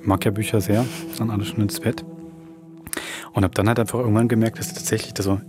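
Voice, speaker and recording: A man's voice: fairly low pitch, level tone, fast. A man hosting a podcast, casually telling an anecdote in conversational style. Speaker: podcast host introducing an audio drama.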